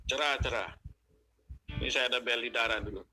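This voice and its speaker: A man's voice: medium pitch, brisk, bright, forceful tone, faint music behind. A man co-hosting a casual online chat, often repeating words and short phrases. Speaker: co-host of an online discussion room. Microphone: online group call. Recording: clearly noisy online call audio.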